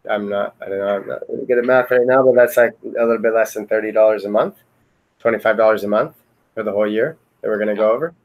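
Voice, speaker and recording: Low, even-toned man, hesitant and rambling. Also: fast, low-quality recording. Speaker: software team member in a retrospective meeting. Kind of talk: casual remote meeting chat.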